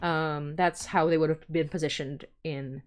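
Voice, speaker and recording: Chatty woman with a lowish voice. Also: fairly even intonation, a little slow. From Philippines. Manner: chatty, informal, thinking aloud. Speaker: podcast creator hosting a fundraising livestream. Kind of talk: casual rambling livestream explainer.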